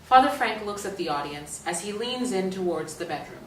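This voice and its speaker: A woman's voice: mid-range voice, animated, a little fast. A woman reading scripted stage directions as the narrator of a play reading. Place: echoey indoor stage or hall. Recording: echoey room, distant mic, faint noise.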